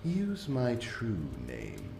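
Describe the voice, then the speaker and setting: A man voicing a sinister demonic old stallion in a dramatic animated comic, with slow, smug, theatrical menace. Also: medium pitch, vocal fry, heavily acted. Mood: smug, menacing. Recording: echoey, music underneath.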